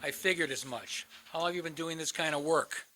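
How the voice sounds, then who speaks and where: A man's voice: a little high, steady tone, brisk, bright, forceful tone, slight background noise. An actor playing a bitter, sardonic man in a radio play, blunt and sarcastic. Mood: scornful, bitter. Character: bitter, sardonic man, crisis counselor posing as suicidal caller.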